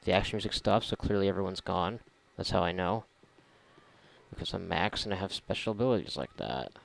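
Casting: male gamer, lowish gravelly fry, sarcastic and sweary off-the-cuff playthrough commentary. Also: a little fast, poor audio. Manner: sarcastic, profane, muttering to himself. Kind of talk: casual gameplay commentary.

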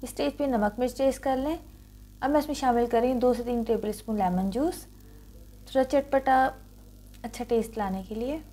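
A woman with a fairly high voice presents a home recipe as a cooking host. She talks the listener through each step in the first person, in an instructional way. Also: normal speed, mellow.

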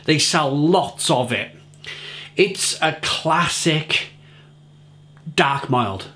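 A man's voice: a little high, lively intonation, drawn-out words, pushed and bright. A man in his forties from England, reviewing a beer to camera in his home kitchen, chatty and often repeating words as he searches for them.

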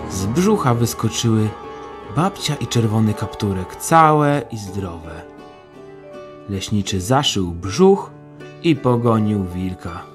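A man's voice: on the low side, lively intonation, takes it slowly, over music. A man narrating a scripted fairy tale as the storyteller of an audio drama.

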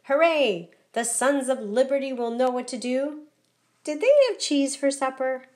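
Lady reading as an excited lil mouse in a kids picture book, pitched up, exclaiming stuff. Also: a little slow. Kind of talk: children's picture book read-aloud dialogue.

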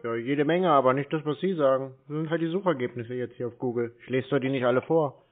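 A man's voice: mid-range voice, fast talker. A man in a casual phone conversation, dry, laconic and skeptical. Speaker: scam-baiter taking a sales call. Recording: narrow phone-like sound.

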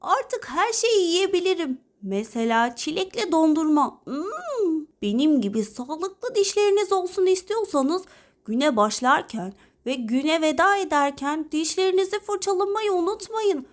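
A woman voicing a cheerful young crocodile child in a children's cartoon, excitable and childlike. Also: very high-pitched, a little slow, full character voice.

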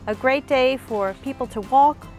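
A middle-aged American woman hosting a scripted travel piece to camera, speaking clearly and deliberately. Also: high-pitched, faint music behind. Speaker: host, librarian. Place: outdoors in a small waterfront town.

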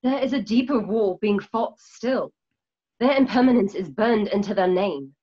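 A woman's voice: medium pitch, animated, moderate pace, dark tone, husky. A woman playing a solemn, idealistic rebel in a radio play, earnest and oratorical, over a video call. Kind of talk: remote radio play reading. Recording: dull lo-fi video call audio.

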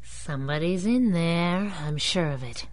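A woman's voice: on the low side, animated, measured pace. A woman playing a feisty, wisecracking crime-fighting sidekick in a radio drama, brash and punchy in her delivery. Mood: suspicious. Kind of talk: 1930s-style radio drama acting.

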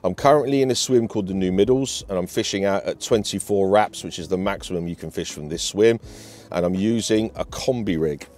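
Low-pitched British man presenting angling. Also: brisk, a little mellow. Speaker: angler presenting a fishing feature. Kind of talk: instructional talk to camera. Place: outdoors on a lake bank.

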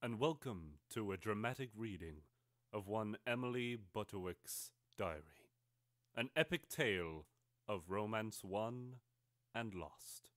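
A man narrating in a mock-epic dramatic style, grand, theatrical and deliberate in his delivery. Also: on the low side, expressive, a bit bright, no echo, studio quality.

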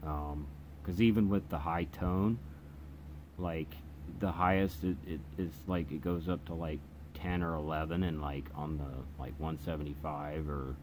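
A man giving a casual, unscripted hands-on product demonstration in a halting, hesitant way, as a hobbyist reviewer. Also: rumbling low, fairly even intonation, darkish tone. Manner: hesitant, halting delivery with trailing phrases. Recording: clear background noise in room.

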